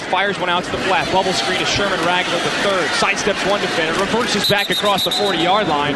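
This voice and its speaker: High-voiced young American football play-by-play man. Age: young adult. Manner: vivid, descriptive, clipped running phrases. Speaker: radio play-by-play announcer. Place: stadium broadcast position, indoor dome. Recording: noisy live stadium broadcast. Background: loud stadium noise.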